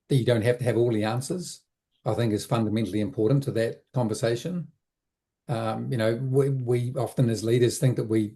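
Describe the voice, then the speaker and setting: A man answering interview questions as an advisor, reflective and halting, often repeating words as he thinks aloud. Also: mid-range voice, warm tone. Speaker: interview guest, business advisor. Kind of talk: conversational explanation in an interview.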